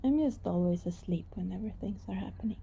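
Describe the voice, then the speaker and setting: A woman playing a shy, secretive young vampire neighbor in an ASMR roleplay, speaking softly, hesitantly and apologetically. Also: medium pitch, brisk, slight background noise, lightly acted. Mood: nervous pleading. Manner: hesitant, soft-spoken, apologetic, breathy.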